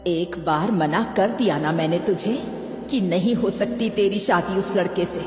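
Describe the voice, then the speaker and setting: A woman's voice: lowish voice, measured pace, reverberant, big-room sound, close to an everyday voice. A woman plays a strict mother obsessed with family honour. Her tone is firm and scolding, in the manner of a cartoon horror drama. Music sits under the voice. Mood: stern refusal. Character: stern, unyielding mother guarding family honour.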